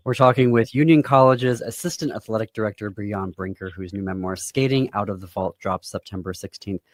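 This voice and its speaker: Low-voiced male interview host. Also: a little fast, no echo. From United States. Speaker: radio show host. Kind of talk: conversational interview hosting.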